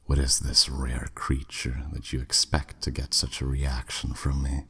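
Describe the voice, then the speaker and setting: Man voicing a very deep, husky, sardonic demon buyer. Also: completely flat delivery, measured pace, pushed and bright, heavily acted. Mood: intrigued. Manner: sardonic, drawling, dismissive. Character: flirty, haughty, skeptical male demon buyer. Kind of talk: scripted ASMR audio roleplay.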